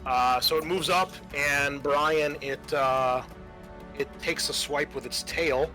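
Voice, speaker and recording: A man with a bright, forward voice runs a tabletop roleplaying game as game master. He talks casually and loosely, describing moves and rulings, with faint music playing behind him. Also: on the high side, fairly even intonation, takes it slowly.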